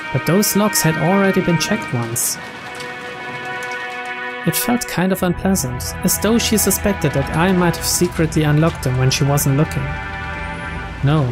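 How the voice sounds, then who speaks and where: A man reading a mystery story aloud as narrator, in a scripted narration style. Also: fairly high pitch.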